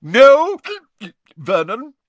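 An overbearing, spiteful middle-aged aunt from an audiobook. The voice is pushed high and loud, swooping with pompous, tipsy contempt. Every word is sneered and leaned on. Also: male voice, very animated, unhurried, no room sound, muffled recording, heavily acted. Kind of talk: audiobook character voice.

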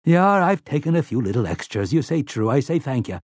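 Man doing a gruff, aggrieved country man, raised pitch. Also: big pitch swings, brisk, a little mellow, dry recording, studio quality. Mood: weary, bitter. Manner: snarling, aggrieved, folksy. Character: rough country man, guilt-ridden traitor, snarling and whiny.

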